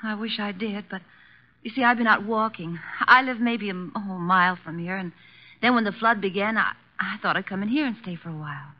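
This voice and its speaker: A woman playing a polite, flustered young lady in a 1950s radio drama, hesitant and excitable. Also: mid-range voice, fairly quick, natural voice. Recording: dull vintage radio recording.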